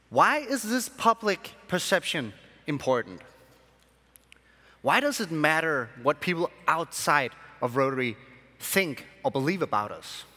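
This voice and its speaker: A young man, born in Sri Lanka and raised in Denmark, giving a keynote speech to a convention audience from a large hall stage with measured, emphatic phrasing. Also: a little high, bright, forceful tone. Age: young adult. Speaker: conference speaker. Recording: long hall reverb.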